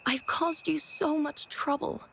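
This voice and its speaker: A woman's voice: high voice, fairly even intonation, unhurried, gravelly, a bit bright, slight background noise, full character voice. A woman playing a remorseful, self-doubting woman painter in a video game, with a subdued, rueful delivery. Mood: remorseful, defeated. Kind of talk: video game story dialogue acting.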